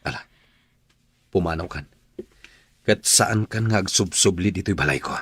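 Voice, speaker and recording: An actor playing a stern, bitter husband in an Ilocano radio drama, speaking bluntly and accusingly in a husky Ilocano-accented voice. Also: male voice, deep voice, moderate pace, fairly bright tone, no echo. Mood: angry, bitter. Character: bitter, stern husband throwing out his estranged wife.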